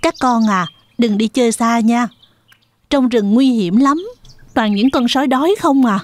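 A woman's voice: a little high, a little slow, no room sound, close to an everyday voice. A woman voicing a caring, protective mother pig in a children's cartoon. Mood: cautioning.

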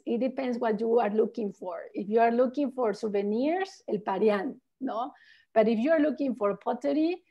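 A Mexican-accented woman guides listeners through her home region over a video call. Her voice sits a little high but sounds mellow and soft. She explains patiently and finishes phrases with a questioning "no?". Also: dull audio. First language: Spanish. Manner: friendly, explanatory, tags phrases with "no?". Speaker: local tour guide. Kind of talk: answering questions on a virtual tour.

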